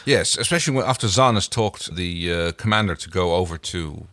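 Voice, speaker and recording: A man's voice: deep voice, animated, a little fast, a bit bright, no echo. A man co-hosting a podcast, chatting casually through a recap of a TV episode. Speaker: podcast co-host.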